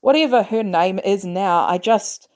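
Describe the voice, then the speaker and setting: A woman voicing a gruff male soldier on the run in an audiobook, speaking bluntly in clipped, urgent phrases. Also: mid-range voice, a little slow, darkish tone, close to an everyday voice. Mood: strained.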